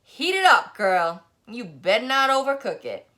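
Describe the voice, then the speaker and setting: A woman voicing a cranky, scolding old neighborhood barber for an audiobook, griping in loud, indignant exclamations. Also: fairly high pitch, sing-song, measured pace, pitched above the performer's own voice, heavily acted. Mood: bossy complaining. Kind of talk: audiobook character voice.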